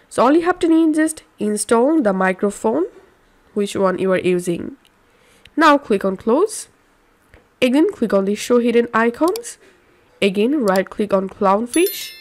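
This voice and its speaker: A woman presents a step-by-step software guide. She takes it slowly and keeps to an instructional, scripted delivery with simple phrasing. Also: medium pitch. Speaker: tutorial presenter.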